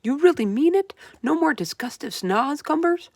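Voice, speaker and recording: Naive, eager storybook giant, male, gentle and anxious, muddled folksy grammar, dry close sound; played by a woman. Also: high-pitched, lively intonation, normal speed, gravelly, no room sound, voice pushed up. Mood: excited. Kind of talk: storybook read-aloud character voice.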